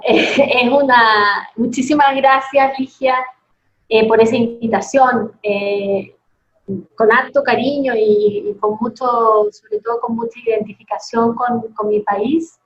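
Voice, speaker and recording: Chilean woman, thoughtful, lo-fi call. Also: fairly high pitch. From southern Chile. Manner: thoughtful, restarts phrases often. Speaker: interview guest. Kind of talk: reflective interview answers. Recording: dull lo-fi video call audio.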